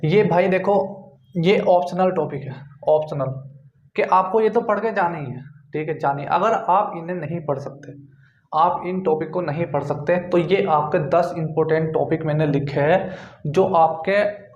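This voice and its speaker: A man's voice: on the high side, unhurried. A man with a North Indian accent giving an informal exam coaching lecture, repeating points and often adding check-in tags. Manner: repetitive, with frequent check-in tags. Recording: dull, echoey room recording.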